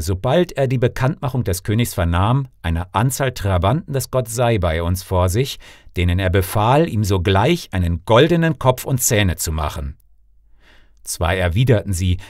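Low-voiced theatrical male storyteller. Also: a little mellow, dry recording, clean studio sound. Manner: theatrical, dramatic. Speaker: actor and storyteller. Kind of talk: expressive scripted fairy-tale narration.

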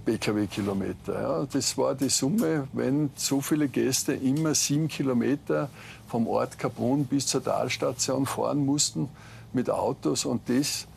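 A man's voice: mid-range voice, unhurried, creaky, a little mellow, a little hiss or hum. A middle-aged man, a cable car executive, answering factually with hesitant fillers inside a gondola. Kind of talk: interview.

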